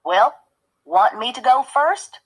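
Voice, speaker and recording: A woman's voice: fairly high pitch, animated, takes it slowly, breathy edge, voice pushed up, full character voice. A woman voicing a bossy older brother in a storybook, challenging and demanding as he taunts. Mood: taunting.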